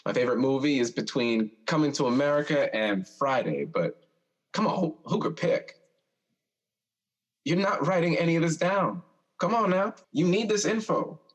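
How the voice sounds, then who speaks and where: An actor playing a teasing, insistent young older brother in an audio drama, drawling and stammering at times. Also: male voice, a little high, animated, moderate pace, lightly acted. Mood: eager, pressing. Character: teasing older brother, a restless young man who has died. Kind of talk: stage-style audio drama dialogue.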